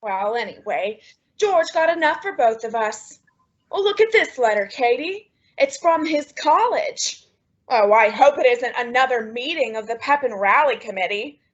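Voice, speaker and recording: A woman playing a dramatic, scheming housewife in an old-time radio sitcom, with exaggerated, drawn-out comic emphasis. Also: a little high, expressive, close to an everyday voice. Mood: disappointed, wry. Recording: dull lo-fi old radio recording.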